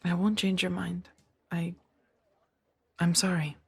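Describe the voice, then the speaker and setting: A woman playing a gentle, earnest Jedi in a soft-spoken ASMR roleplay, speaking in a hesitant, breathy murmur. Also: lowish voice, little pitch movement, slow, exaggerated voice. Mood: solemn, torn. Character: gentle, earnest young female Jedi, tenderly conflicted.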